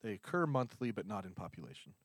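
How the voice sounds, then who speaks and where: A man's voice: on the low side, sing-song, quick pace, no echo. A man co-hosting a casual podcast chat, laid-back, laughing often and trailing off mid-sentence. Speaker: podcast co-host.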